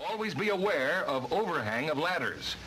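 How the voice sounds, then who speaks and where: Guy narrating an old instructional film, crisp formal delivery, bright forceful voice, a bit of worn soundtrack noise. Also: fairly high pitch, big pitch swings, moderate pace, poor audio. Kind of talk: scripted instructional narration, vintage training film.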